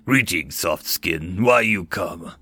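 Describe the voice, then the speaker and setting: A man's voice: low voice, lively intonation, slow, pushed and bright, no echo, voice pushed down, heavily acted. A man voicing a gaunt, grim male orc warrior who speaks in short, broken, hissing phrases. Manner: broken blunt speech, hissing and growling. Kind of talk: storybook narration.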